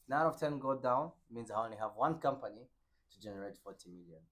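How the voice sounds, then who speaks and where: A man's voice: medium pitch, fast. A young man from Burundi whose first language is French, explaining venture capital in conversation and acting out dialogue while checking in with "right?". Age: young adult. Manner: animated, acts out dialogue with many "right?" checks.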